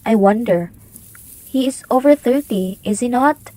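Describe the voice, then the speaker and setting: A woman as nosy grown daughter in a radio play, slow talker, highish voice. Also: warm tone, dry recording, close to an everyday voice. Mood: curious. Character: curious grown daughter gossiping with her father. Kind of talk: amateur radio drama acting.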